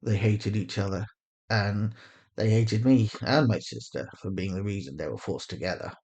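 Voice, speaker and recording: A performer voicing a weary, resigned male interrogator with quiet menace, speaking in a measured, understated way in an audio drama. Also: low-pitched, husky. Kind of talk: acted audio fiction.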